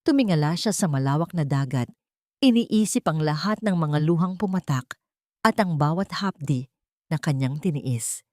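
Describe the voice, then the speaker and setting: Female narrator for romantic audio stories: lowish voice, solemn sentimental reading, very little room sound. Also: expressive, normal speed, warm tone, no echo, clean studio sound. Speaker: storyteller. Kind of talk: scripted dramatic narration of a romance story.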